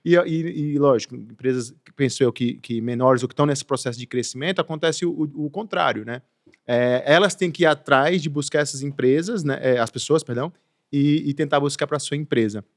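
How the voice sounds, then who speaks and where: A man's voice: medium pitch, brisk, dry recording, studio quality. A Brazilian man hosting a casual podcast chat, rambling with many restarts and fillers and laughing through his stories. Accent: Brazilian Portuguese. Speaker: podcast host.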